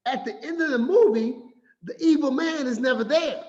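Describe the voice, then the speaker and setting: A man giving a finance talk as an animated, playful storyteller who acts out quotes. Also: extremely high pitch, normal speed. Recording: echoey room, slightly dull sound.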